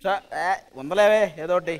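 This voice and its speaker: A man's voice: sits high, fairly even intonation, normal speed, smooth. A man with a Telugu accent doing comic banter on a TV stage as a team leader. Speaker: comedian team leader on a TV dance show. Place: TV studio stage. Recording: very loud music behind the voice.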